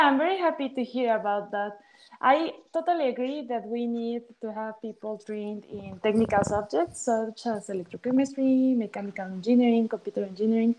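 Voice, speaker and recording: A young woman with a fairly high voice speaks as a researcher on a discussion panel. She talks in a semi-spontaneous way, forming her points as she goes. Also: darkish tone, poor audio. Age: young adult. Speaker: panelist, postdoctoral battery researcher.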